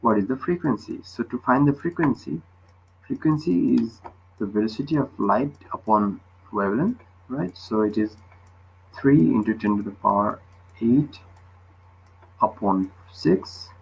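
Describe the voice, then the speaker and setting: A man's voice: mid-range voice, animated, unhurried, vocal fry, slight background noise, low-quality recording. A man tutoring physics, explaining a worked problem step by step and often checking with short questions.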